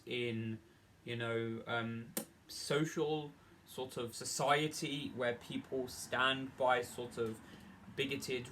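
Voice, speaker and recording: A man giving an earnest, unscripted opinion monologue in a halting, rambling way, often repeating and rephrasing as he argues. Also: mid-range voice, a bit bright, slight background noise.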